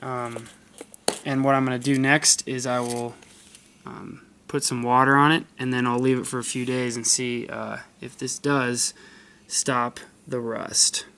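A man's voice: mid-range voice, level tone, a little slow, vocal fry, pushed and bright. A young man, a college student, casually hosting a fishing channel, testing a tackle box with plenty of ums and uhs. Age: young adult. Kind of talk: casual product test vlog. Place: carpeted room indoors.

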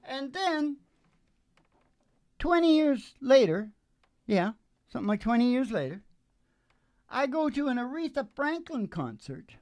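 A middle-aged man hosting a music show, chatting casually and telling rambling, animated stories. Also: very high voice, measured pace, long pauses, no room sound, clean studio sound. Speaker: singer and music show host. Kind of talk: casual chat and storytelling between songs. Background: acoustic guitar.

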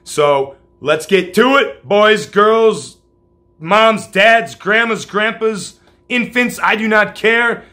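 Male host, 40s, loud and hyped, bright forceful tone, casual swearing. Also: high voice, takes it slowly. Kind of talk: energetic show intro. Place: home room, solo on camera.

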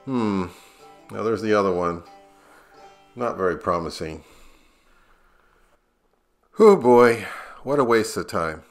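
A man's voice: fairly low pitch, animated, takes it slowly, pauses often, soft background music. A middle-aged American man narrating a casual vlog in a wry, conversational way. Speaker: audio gear YouTuber.

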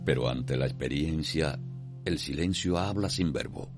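A man's voice: rumbling low, moderate pace, loud music behind the voice. A man delivering solemn, poetic scripted narration for a radio soap opera.

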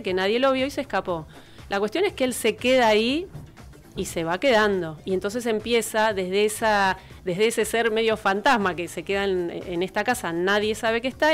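A woman with an Argentine Rioplatense accent, a radio co-host telling stories casually in an expressive, rambling way. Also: medium pitch, clear, resonant tone. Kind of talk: animated casual storytelling and banter. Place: small radio studio. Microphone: close studio microphone on arm. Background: music.

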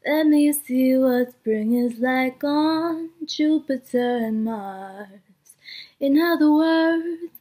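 A woman's voice: high-pitched, very slow, dark tone, soft background music. A woman, an amateur singer, singing pop and jazz covers as a voice sample.

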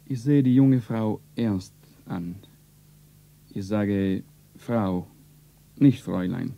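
An actor playing a small grey-haired foreign doctor in a radio drama, grave, halting and formal, with an Italian accent. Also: male voice, on the low side, creaky, lo-fi sound.

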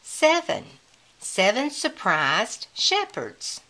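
Woman reading slowly, with lively swooping pitch. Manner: deliberate, counting out for children. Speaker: children's book reader. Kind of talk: read-aloud of a children's picture book.

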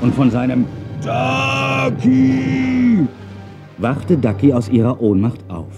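A man's voice: fairly high pitch, wildly swooping pitch, takes it slowly, dull audio. A man narrating a children's audio drama in a dramatic storytelling manner. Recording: music behind the voice. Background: music.

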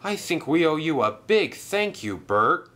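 A man's voice: on the high side, very animated, a little slow, pushed and bright, pitched above the performer's own voice, heavily acted. A man voicing a formal, polite male engine.

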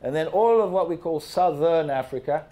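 An older man from Zambia giving an animated slide presentation to a seated audience in a large banquet hall, speaking into a handheld microphone and asking the listeners questions. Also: a little high, normal speed. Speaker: guest speaker.

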